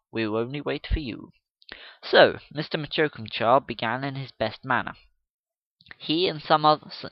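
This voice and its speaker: A male amateur narrator reads classic fiction in a dramatic storytelling style. His pitch is middling, and the home recording is dry, close and a little dull. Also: no room sound. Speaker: amateur audiobook reader. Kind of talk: dramatic reading of a classic novel.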